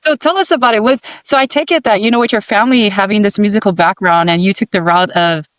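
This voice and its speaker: A man's voice: sits high, fast, a bit bright, clean tone, no echo. A man from American Samoa, co-hosting a podcast and asking chatty, friendly questions. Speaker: podcast co-host. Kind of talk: casual interview questions.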